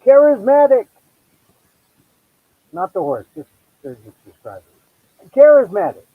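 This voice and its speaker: Husky, lively middle-aged host with a Filipino American accent, chatty solo talk-show monologue. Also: male voice, high-pitched, dry recording, phone-quality sound. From United States. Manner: chatty, self-deprecating, quick asides and restarts. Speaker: podcast host. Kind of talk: casual solo monologue promoting his show.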